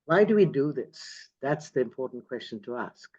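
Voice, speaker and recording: A man's voice: mid-range voice, expressive, moderate pace, darkish tone, lo-fi sound. A man hosting an online interview over a video call, enthusiastic and effusive. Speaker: host.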